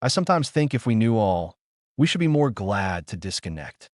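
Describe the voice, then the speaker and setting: A man plays a dying older doctor in a noir audiobook. His voice is weak and unsteady, swinging in pitch, full of bitter resignation and dread. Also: sing-song, moderate pace, no echo, studio quality. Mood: weak, resigned.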